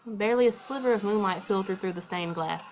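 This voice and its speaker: A woman's voice: mid-range voice, quick pace, darkish tone, muffled recording, soft background music. A woman reading a visual novel aloud as a let's player, with informal, colloquial reactions along the way.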